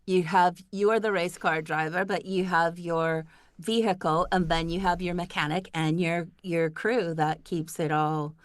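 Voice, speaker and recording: A woman hosting an online interview, agreeing with the guest and adding a slow, easy analogy. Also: lowish voice, fairly even intonation, no echo. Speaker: host. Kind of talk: casual interview chat.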